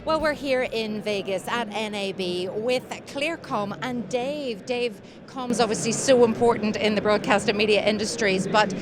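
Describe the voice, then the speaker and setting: A woman interviewing a guest on a trade show floor, asking brisk, friendly questions. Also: on the high side, over music.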